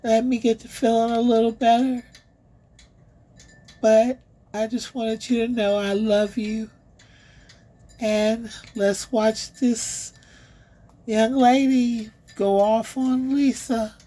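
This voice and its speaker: A woman vlog host with a hoarse, failing voice, speaking affectionately and in a drawn-out way to her viewers. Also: on the high side, flat delivery, mellow. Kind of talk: slow affectionate address to viewers.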